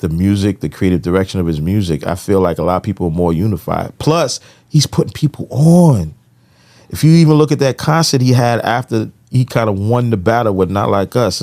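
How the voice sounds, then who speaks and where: A man's voice: fairly low pitch, darkish tone. An American man in casual podcast conversation, giving his opinions with frequent conversational tag phrases. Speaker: podcast co-host.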